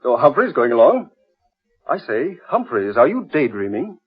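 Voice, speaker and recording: Lively husky gent, breezy and scoffing, old radio horror play, muffled. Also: male voice, medium pitch, normal speed. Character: breezy, scoffing gentleman skeptic. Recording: muffled old radio recording, music behind.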